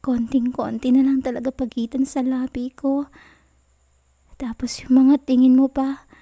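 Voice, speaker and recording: High-voiced clingy Filipina girlfriend, acted by a woman. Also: little pitch movement, measured pace, muffled recording. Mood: tender, melting. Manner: soft, whiny, teasing, giggly delivery. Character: clingy, playful, pouty young Filipina girlfriend. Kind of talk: intimate ASMR girlfriend roleplay.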